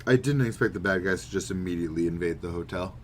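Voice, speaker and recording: A man's voice: low voice, fast talker, faint music behind. A man chatting casually as a podcast co-host, with a wry, sarcastic, profane way of talking. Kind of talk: casual banter about manga. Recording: noticeable background noise.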